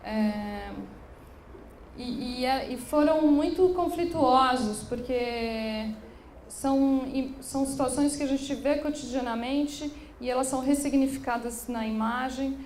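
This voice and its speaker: A woman's voice: high-pitched, measured pace, a little mellow. A woman from São giving a public talk as a curator, speaking hesitantly with many restarts and fillers. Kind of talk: public lecture. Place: room in an occupied building. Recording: echoey room, faint background noise.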